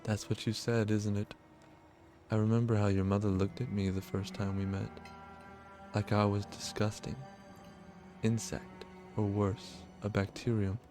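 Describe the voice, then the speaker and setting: A man's voice: on the low side, level tone, unhurried, dark tone, faint music behind, natural voice. A man voicing a creepy, lovesick young noblewoman in a visual novel, clingy and wounded. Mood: wounded, accusing. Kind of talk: visual novel dialogue read aloud.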